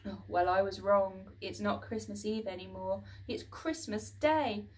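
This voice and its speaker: A woman voicing an earnest, excitable young boy who thinks aloud in a read-aloud children's storybook. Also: mid-range voice, a little slow, close to an everyday voice. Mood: dejected.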